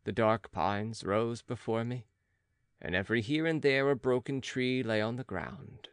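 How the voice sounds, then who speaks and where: A man narrating a classic gothic novel as an audiobook, in a measured, dramatic literary manner. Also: medium pitch, smooth, no room sound, studio quality.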